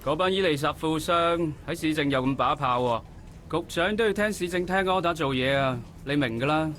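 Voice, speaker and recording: An actor playing an easygoing male police officer in a game, placating and relaxed. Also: fairly high pitch, a little fast, a little hiss or hum, close to an everyday voice.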